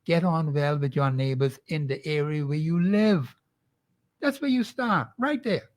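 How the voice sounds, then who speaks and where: A man's voice: a little high, measured pace. A man with a Bahamian accent, speaking as a guest professor and urging listeners with earnest rhetorical questions. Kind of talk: call-in show commentary.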